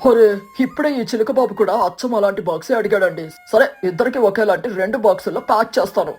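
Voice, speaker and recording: A woman voicing an obliging, bemused male stork shopkeeper with a husky voice in a children's cartoon story. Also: mid-range voice, quick pace, a little mellow, soft background music, natural voice.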